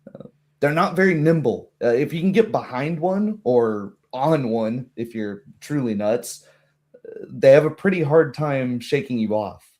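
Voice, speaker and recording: A man voicing a small, blunt gnome woman in a tabletop game, rambling informally and haltingly as she explains things. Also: mid-range voice, a little mellow, lightly acted. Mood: matter-of-fact, wry. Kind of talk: tabletop roleplay dialogue.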